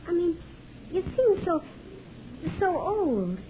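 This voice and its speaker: A woman's voice: very high voice, big pitch swings, slow pace, slight background noise, full character voice. A weary tender young woman. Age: young adult. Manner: soft, earnest, pleading. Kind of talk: 1940s radio acting. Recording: lo-fi 1940s radio broadcast.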